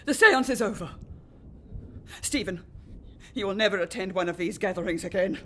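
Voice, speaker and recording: A woman's voice: mid-range voice, fairly quick, pushed and bright, slight background noise, lightly acted. An actress playing a severe, watchful, haunted lady of a Victorian house in a radio play, commanding and theatrical. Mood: frantic, angry.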